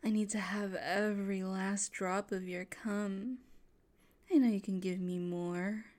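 A woman playing a possessive, unstable, domineering woman in an intimate audio roleplay. She whispers and coos in a breathy, menacing voice. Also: mid-range voice, steady tone, a little slow, darkish tone, close to an everyday voice. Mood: aggrieved.

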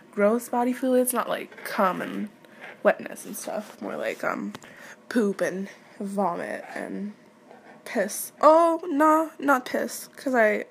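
A young woman with French as her first language rambles casually at a slow pace. Her voice has a gravelly fry, and she is candid and hesitant as she answers questions. There is faint noise behind her. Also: medium pitch. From Quebec, Canada. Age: late teens, young adult. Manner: giggly, hesitant, candid, self-interrupting. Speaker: audio creator answering listener questions.

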